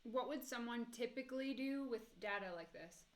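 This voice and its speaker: A woman's voice: on the high side, normal speed, roomy sound, distant mic. A woman co-hosting a casual chat, prompting with short questions. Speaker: co-host asking questions. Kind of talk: casual interview.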